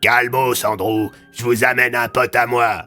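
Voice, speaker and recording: A man's voice: medium pitch, flat delivery, measured pace, bright, forceful tone, faint music behind. A man voicing a streetwise talking crow with an offhand, slangy way of talking in an audio story. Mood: breezy, matey. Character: talking crow, streetwise cheeky male companion.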